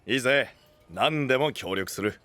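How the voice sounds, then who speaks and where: An actor playing a rough but good-hearted young knight in an anime voice drama, blunt and slangy. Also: male voice, medium pitch, expressive, moderate pace, sharp tone, no room sound. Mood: relaxed confidence.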